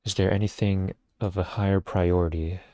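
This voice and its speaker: A man giving casual, low-key gameplay commentary, thinking aloud as he livestreams. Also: level tone, moderate pace, mellow, dry recording.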